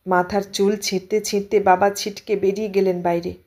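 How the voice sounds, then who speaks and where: A woman narrates a literary story in a medium-pitched voice. Her reading is dramatic and emotive, shaped like an audiobook performance. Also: level tone, a little fast, darkish tone.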